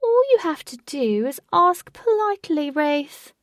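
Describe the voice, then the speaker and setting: A woman voicing a crazed, taunting male master of a sinister ride in a storybook, with theatrical, drawn-out mockery. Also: high voice, big pitch swings, no room sound, studio quality, far from the mic, voice pushed up. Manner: theatrical, drawn-out mocking delivery.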